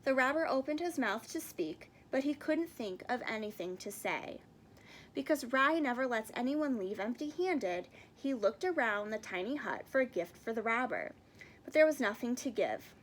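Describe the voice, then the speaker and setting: A woman reading a children's picture book aloud as an expressive storyteller. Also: a little high, normal speed, slight background noise. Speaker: storybook reader.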